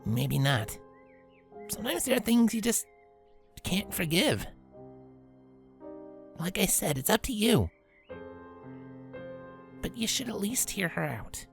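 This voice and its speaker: A woman voicing a tomboyish, protective grown pegasus pony, speaking in a calm, low, reassuring way in an acted audio drama. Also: slow pace, creaky, long pauses, faint music behind, full character voice. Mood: gentle, resigned.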